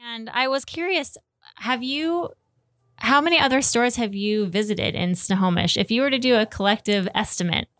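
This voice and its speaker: A woman's voice: fairly high pitch, moderate pace, fairly bright tone, no room sound, clean studio sound. A woman hosting a casual podcast interview in a chatty, agreeable way.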